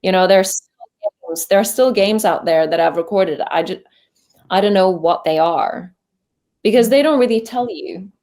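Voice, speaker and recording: A woman's voice: mid-range voice, moderate pace, a little mellow. A young woman from Norway with a Norwegian accent, chatting casually as a voice actor guest in an interview, full of fillers and restarts. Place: home voice booth.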